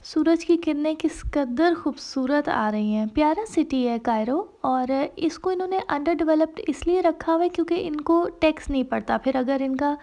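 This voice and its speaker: High-voiced chatty woman travel vlogger. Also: normal speed, few pauses, mellow. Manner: chatty, mixes English phrases into Hindi-Urdu, many fillers. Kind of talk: casual travel vlog narration.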